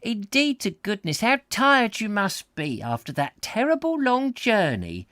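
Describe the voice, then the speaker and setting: A man puts on an ancient Welsh landlady from a storybook, high and swooping. She is gushing and fussily hospitable, stretching out her words in effusive welcome. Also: huge pitch swings, measured pace, pushed and bright, no echo, studio quality, exaggerated voice. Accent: Welsh, put-on. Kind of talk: storybook character reading.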